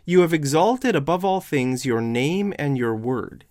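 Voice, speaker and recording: Slow, hoarse man questioning his viewers. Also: fairly high pitch, animated, a little mellow. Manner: questioning, challenging the audience; slow and deliberate. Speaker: religious video commentator. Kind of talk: casual online Bible commentary.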